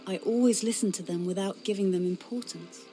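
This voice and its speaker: A woman's voice: medium pitch, fairly quick, warm tone, faint music behind, lightly acted. A woman playing an earnest, indignant young female warrior in a fantasy game cutscene. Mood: troubled. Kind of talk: scripted video game cutscene acting.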